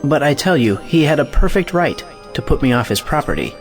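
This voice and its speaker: A man's voice: on the high side, lively intonation, fairly quick, faint music behind. A man playing an indignant, idealistic scientist in an audio play, with a declamatory, scornful manner. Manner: declamatory, rhetorical questioning.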